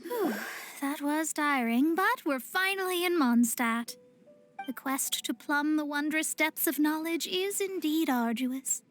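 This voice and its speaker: High, haughty young astrologist. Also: female voice, lively intonation, measured pace, a bit bright, soft background music. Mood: weary, huffy. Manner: formal, archaic, lofty diction. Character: haughty young female astrologist, proud, theatrical, self-assured. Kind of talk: anime-style video game voice acting.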